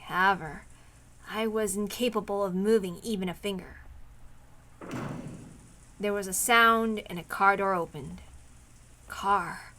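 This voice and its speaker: A woman's voice: mid-range voice, pushed and bright. A woman streaming a visual novel read-aloud, moving between narration and informal, rambling asides where she interrupts herself. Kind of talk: casual chat and story read-aloud.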